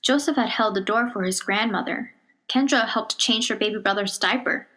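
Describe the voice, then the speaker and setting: A woman reads a children's picture book aloud in a measured, expressive storytelling manner, dropping to a hush on some phrases. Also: medium pitch. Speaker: storybook reader.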